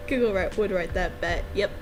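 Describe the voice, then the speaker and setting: A playful woman talks casually through her own game at a relaxed, slightly slow pace. She has a giggly manner and an average-pitched voice, with faint music playing behind her. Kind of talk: casual playthrough commentary.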